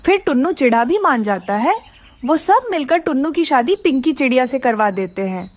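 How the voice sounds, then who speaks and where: A woman's voice: sits high, lively intonation, normal speed, dry recording. A woman narrating a scripted children's story.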